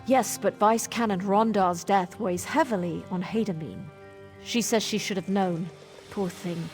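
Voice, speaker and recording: An actress playing a composed, dutiful soldier who speaks formally and earnestly in a fantasy video game. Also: female voice, mid-range voice, measured pace, darkish tone, natural voice. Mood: earnest, concerned. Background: faint game music.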